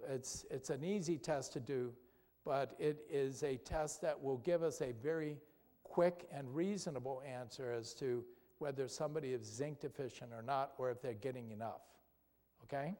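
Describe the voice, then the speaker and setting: A man's voice: medium pitch, moderate pace, dark tone. A man giving a health lecture to an audience in a church, talking conversationally, quizzing the listeners and ending points with "okay?". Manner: conversational, asks the audience questions, tag "okay?". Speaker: doctor giving a health talk. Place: church hall. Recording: long hall reverb.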